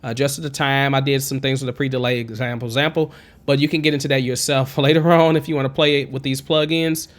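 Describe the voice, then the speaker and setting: A man in his thirties with a high, twangy tenor, talking casually through a music production tutorial from a home studio on a condenser mic. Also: fairly even intonation, fast talker. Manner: chatty, encouraging, digresses. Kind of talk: casual screen-recorded tutorial. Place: home studio with green screen. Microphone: studio condenser mic with pop filter, wearing headphones.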